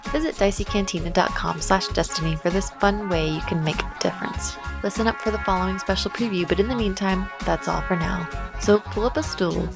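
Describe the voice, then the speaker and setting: A woman's voice: mid-range voice, brisk, creaky, hardly any pauses, music in the background. A woman reading a scripted podcast outro as its announcer.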